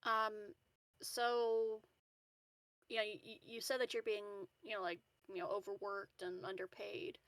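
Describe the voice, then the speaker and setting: A woman with a medium-pitched voice speaks as a tabletop game player. Her questions come out casual and halting, with repeated words, in a dry, close recording. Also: little pitch movement, fairly bright tone, no echo, poor audio.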